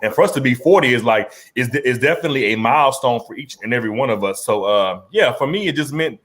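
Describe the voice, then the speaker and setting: A man's voice: medium pitch, expressive, quick pace, a bit bright. A man in his forties hosting a casual conversation, laid-back and slangy, often repeating words as he talks. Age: 40s. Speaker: podcast host.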